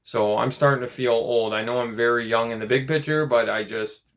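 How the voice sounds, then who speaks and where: A man in his late twenties talking in a casual personal vlog, rambling and halting, with many restarts and fillers. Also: medium pitch, fairly even intonation, a bit bright. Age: 20s. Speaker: vlogger.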